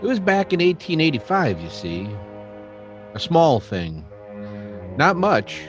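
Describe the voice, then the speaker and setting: A man playing a reflective general-store keeper who narrates his memories in an old-time western radio drama, in halting phrases. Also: fairly high pitch, very animated, over music.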